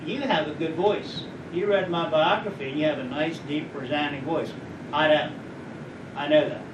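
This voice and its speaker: Rambling high-voiced man in an echoey room. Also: fairly even intonation, normal speed. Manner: rambling, anecdotal, asks the audience questions. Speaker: guest speaker. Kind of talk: informal lecture to students. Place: college lecture room. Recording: echoey room, distant mic, background noise.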